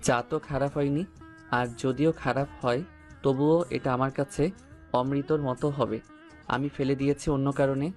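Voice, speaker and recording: A man's voice: mid-range voice, steady tone, unhurried, a little mellow, faint music behind, close to an everyday voice. A man playing a devoted, romantic young husband in an audio story, tender and earnest in manner.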